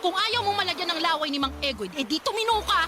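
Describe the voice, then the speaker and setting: A furious Filipino mother in a radio drama. Her voice is very high and swings widely as she scolds, stern and exasperated, over a music bed. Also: expressive, fast. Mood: stern, threatening.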